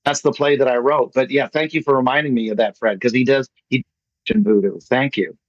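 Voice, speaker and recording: A man's voice: medium pitch, animated, brisk, no room sound. A man speaking casually as a guest on an online call, effusive and rambling, repeating himself for emphasis. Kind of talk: casual online talk answering questions. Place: online video meeting. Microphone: video call. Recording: slightly dull online call audio.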